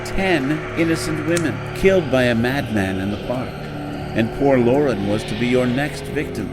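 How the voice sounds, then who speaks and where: A man playing a coldly polite villain in a horror audio drama, formal, measured and menacing. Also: mid-range voice, animated, over loud music, natural voice. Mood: cold judgment. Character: coldly polite villainous husband staging occult revenge.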